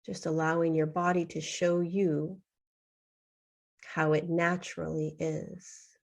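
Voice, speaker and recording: A woman leading a guided meditation in a soothing, unhurried way, leaving long pauses between short phrases. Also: low voice, little pitch movement, vocal fry.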